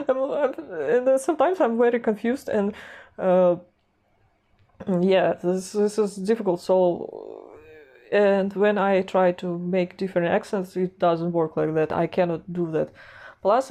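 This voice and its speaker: A woman's voice: medium pitch, animated, a little slow, dark tone. A young woman with a Russian accent talking casually to camera from a room at home, hesitant, with many fillers and restarts, laughing at herself. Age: young adult. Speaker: artist and animation vlogger. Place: home room with a gaming chair, indoors.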